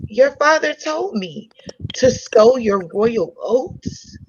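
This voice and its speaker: A woman's voice: fairly high pitch, lively intonation, takes it slowly, husky, no echo, full character voice. A woman playing a hurt, proud young woman turning away a suitor in a comedic table read, wounded and firm. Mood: hurt, indignant. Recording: dull lo-fi sound with faint noise.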